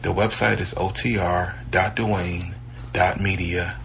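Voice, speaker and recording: A man's voice: sits low, fairly even intonation, slow pace, creaky, fairly bright tone, a little hiss or hum. A man hosting a podcast, delivering a scripted sign-off to listeners. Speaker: podcast host.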